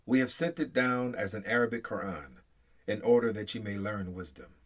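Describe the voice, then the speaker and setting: A man reading scripture aloud in English in a solemn, measured way, with deliberate phrases that open with emphasis and fall away at the end. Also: fairly low pitch, lively intonation, fairly bright tone. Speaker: scripture reader.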